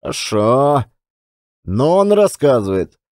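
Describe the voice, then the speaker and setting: Audiobook drama role: male actor as folksy old ex-marker spinning yarns, animated melody, dry sound. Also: medium pitch, no echo. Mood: reminiscing. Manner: colloquial, unhurried storytelling with rustic turns of phrase. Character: old former billiard marker, a folksy storyteller reminiscing. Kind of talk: one-man audiobook drama.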